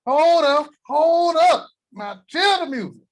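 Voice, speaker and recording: Guy doing a crude old rich grandpa, loud-mouthed, drawls real slow. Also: very high-pitched, animated, full character voice. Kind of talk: table read acting.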